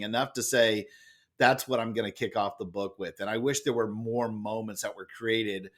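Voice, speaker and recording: Middle-aged man, loud voice, mid-pitched, lively anecdote-teller for a podcast guest spot. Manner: expressive, acts out quoted lines with big jumps in pitch. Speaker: guest, author and speaker. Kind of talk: animated storytelling in conversation.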